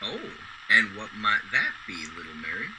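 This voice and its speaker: An actor playing a kindly, loyal old garbage man in a radio drama, with a gentle, folksy, polite way of talking. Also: on the low side, very animated, normal speed. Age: older. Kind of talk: golden-age radio drama acting. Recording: clearly noisy background.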